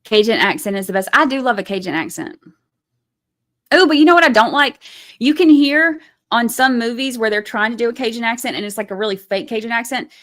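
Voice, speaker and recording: A woman's voice: fairly high pitch, fairly quick, a bit bright. A woman hosting a casual livestream, reading viewer comments aloud and reacting to them in a chatty way.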